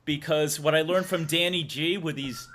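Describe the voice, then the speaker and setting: A man's voice: fairly high pitch, normal speed, fairly bright tone. A man chatting on a live stream, rambling playfully and reading out viewer donations. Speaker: livestreamer.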